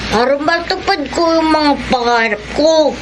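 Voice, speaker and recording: Very high-pitched little schoolgirl. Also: a little slow, noisy background. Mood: bright, innocent. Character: cheerful little schoolgirl buying bread. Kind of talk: radio drama acting.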